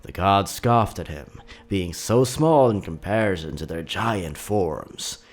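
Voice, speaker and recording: A man's voice: deep voice, expressive, slow, exaggerated voice. A man voicing a folksy old grandmother who tells children an ancient tale in a theatrical way. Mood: ominous storytelling. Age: older.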